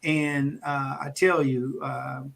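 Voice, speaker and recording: A man, a doctor, hosting a live health stream in a loose, halting way with many fillers and light jokes. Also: medium pitch, level tone, gravelly, fairly bright tone. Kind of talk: casual livestream talk.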